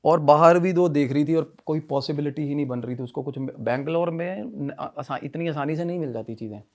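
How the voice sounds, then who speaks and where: A man telling a story in animated conversational style, often repeating himself and mixing in English phrases. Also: fairly high pitch, brisk. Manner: repetitive, mixes Hindi with English phrases. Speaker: podcast guest telling a ghost story.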